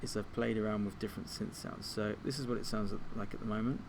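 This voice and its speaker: Guy with a lowish voice walking thru software step by step, kinda hesitant, clear hiss and hum behind him. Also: fairly even intonation, quick pace. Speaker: music production tutor. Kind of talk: software tutorial, informal step-by-step demonstration.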